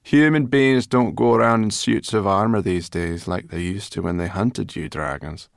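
A man's voice: on the low side, moderate pace, gravelly, no room sound, clean studio sound, pitched above the performer's own voice. A man voicing a grumpy female brownie in a storybook, sarcastic and scornful. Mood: grim warning.

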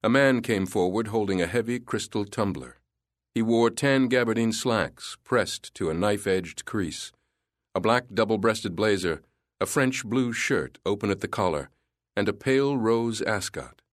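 A male audiobook narrator with a low voice, reading a literary thriller in a measured, descriptive way. The sound is dry and close, with no room around it. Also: no room sound.

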